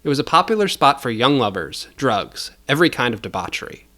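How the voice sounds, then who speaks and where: A man's voice: medium pitch, very animated, brisk, pushed and bright. A man narrating scripted fiction as a storyteller, in a dramatic style.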